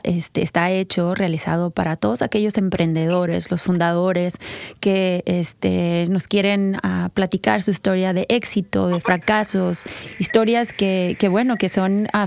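Smooth-voiced, halting woman podcast host. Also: medium pitch, darkish tone, dry recording. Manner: halting, filler-heavy delivery. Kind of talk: casual introduction and interviewing.

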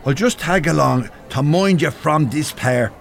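A man's voice: fairly high pitch, unhurried, gravelly. An actor in an audio drama playing a cheeky, brash working-class man who loves a drink, with a Dublin accent. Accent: Dublin Irish. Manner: brash, slangy.

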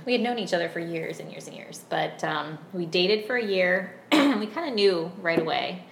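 A woman in her thirties from Virginia, chatting as a podcast guest in a friendly, unhurried way with many ums. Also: fairly low pitch, expressive, gravelly. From Virginia, USA. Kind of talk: casual interview chat. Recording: roomy echo, faint background hum.

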